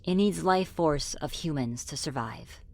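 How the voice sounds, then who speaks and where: A woman playing a friendly, flirty, apologetic demon in an ASMR roleplay, speaking in a gentle, reassuring, conversational way. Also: low-pitched, animated, measured pace, gravelly, full character voice. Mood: earnest, apologetic. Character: friendly, flirty, apologetic female demon ruling her own realm. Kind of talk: ASMR roleplay spoken to the listener.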